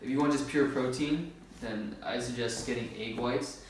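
A young man in his early twenties speaks casually and informally as a fitness vlogger. His voice sits at an average pitch, picked up from a distance in a very echoey room with faint noise behind it. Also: normal speed. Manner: laid-back, informal talk with slang. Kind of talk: casual grocery haul vlog. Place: bare-walled room indoors. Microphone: camera a few metres away.

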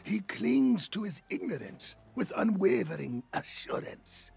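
An actor voicing a grave, wary fantasy game character, gravelly and raspy, who speaks formally and ominously. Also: male voice, sits high, sing-song, unhurried, darkish tone, full character voice. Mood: relieved, foreboding. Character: grave, worried, wary ally who fears spreading corruption.

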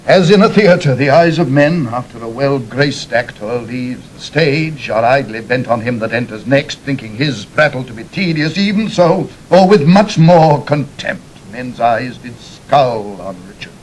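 An actor playing a stern, loyal old English duke in a Shakespearean audio drama, with a British accent and declamatory verse delivery. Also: male voice, animated, a little slow, few pauses, natural voice. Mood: grave sorrow. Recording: rumbly, noisy vintage 1960s drama recording.

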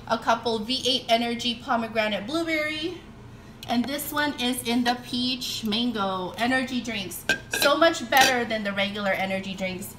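A woman's voice: a little high, background noise. Chatty woman in an echoey kitchen. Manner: chatty, upbeat, lists items with frequent fillers. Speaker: vlogger. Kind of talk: casual grocery haul chat. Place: home kitchen, indoors.

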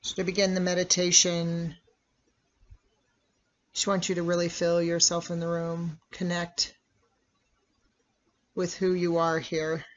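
Meditation guide, medium pitch, very unhurried, measured instructional delivery with long pauses, muffled lo-fi sound. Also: completely flat delivery. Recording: dull, lo-fi recording.

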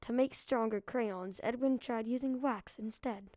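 A woman's voice: fairly high pitch, unhurried, dark tone, no echo. A female reader giving a scripted read-aloud of a children's book.

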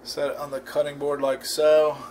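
A man hosting a casual home cooking demo in his kitchen, talking in a relaxed, rambling way with lots of fillers and restarts. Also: a little high, normal speed, a little hiss or hum. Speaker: cooking channel host.

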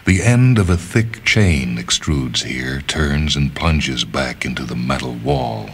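Very deep-voiced man reading a story. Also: lively intonation, slightly rough. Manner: measured, deliberate, with pauses. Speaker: narrator reading short fiction. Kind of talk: dramatic literary story reading. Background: music.

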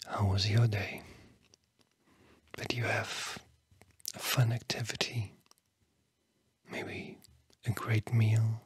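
A tired-sounding ASMR host whispering a bedtime talk in a hushed, soothing, breathy way with long pauses. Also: mid-range voice, level tone.

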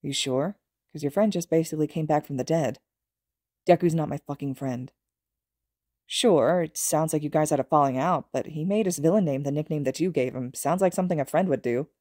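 A woman's voice: sits low, fairly quick, a little mellow, no echo, clean studio sound. A woman voicing a bulky, laid-back teenager who teases casually in a read-aloud story. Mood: teasing.

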